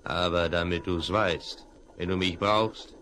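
A man's voice: sits low, steady tone, measured pace, pushed and bright, a little hiss or hum. An actor playing a blunt, businesslike male safecracker in a 1970s radio play. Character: cool male safecracker crook, mercenary.

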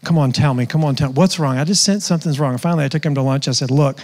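A man's voice: fairly high pitch, racing, a little mellow. A middle-aged man, a mission pastor, speaking earnestly on a stage panel with many restarts. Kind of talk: panel discussion. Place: church stage. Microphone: handheld mic. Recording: long hall reverb.

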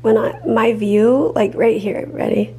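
A woman in her thirties chats to a handheld camera in her kitchen, lively and full of "like." Her voice sits a little high and has a breathy edge. The sound is close and homey. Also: measured pace. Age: 30s. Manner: chatty, animated, says "like" a lot. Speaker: vlog co-host. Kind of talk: casual vlog chat. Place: home kitchen. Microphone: handheld camera at arm's length.

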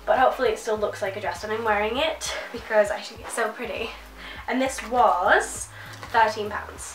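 A woman's voice: on the high side, fairly bright tone, reverberant, far from the mic, poor audio. A young woman chatting to camera about a clothing haul, nasal and congested from a cold, gushing and full of "like". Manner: chatty, gushing, many likes. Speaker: fashion vlogger. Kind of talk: casual haul chat to camera. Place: small bedroom, indoors.

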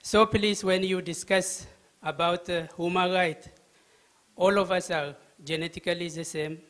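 An older man from Ethiopia asks a long question from the floor in a vaulted hall. His voice sits fairly high and he talks slowly, stopping often on filler sounds while pressing his point earnestly into a handheld mic. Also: fairly even intonation, fairly bright tone. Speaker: audience member asking a question. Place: vaulted conference hall with audience. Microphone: handheld microphone.

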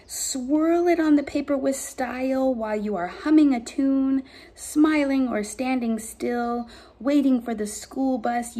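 A woman's voice: on the high side, warm tone. A middle-aged woman, a pastor hosting story time, reading poems aloud expressively to children with casual asides. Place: bright dining room at home.

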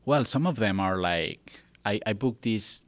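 A man's voice: on the low side, lively intonation, measured pace, dry recording. A young man from Catalonia with a Spanish accent, a native Spanish and Catalan speaker, talking casually and earnestly as a podcast guest into a close studio mic. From Catalonia, Spain. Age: young adult. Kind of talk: casual interview talk. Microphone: close boom-arm studio mic.

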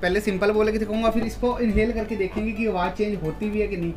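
A man's voice: high voice, steady tone, quick pace, music in the background. A young man from India hosting a casual challenge vlog, chatting to viewers in a small room at home. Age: young adult. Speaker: vlog host.

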